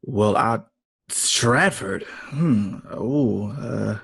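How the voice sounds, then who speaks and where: A man's voice: fairly low pitch, very slow, creaky, fairly bright tone, husky, no room sound, heavily acted. A man playing an earnest, weary composer in an audio drama, modest, polite and thoughtful. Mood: thoughtful, subdued.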